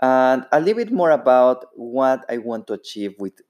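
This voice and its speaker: A man's voice: medium pitch, sing-song, moderate pace, darkish tone, muffled recording. A man in his thirties from Ecuador with a Spanish accent, hosting a solo podcast and speaking unhurriedly with frequent fillers and restarts. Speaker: podcast host.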